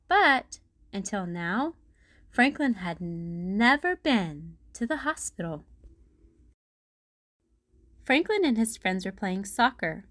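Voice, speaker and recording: A woman narrating a children's storybook as a read-aloud host, in a deliberate storytelling manner. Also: medium pitch, sing-song, a bit bright, no echo.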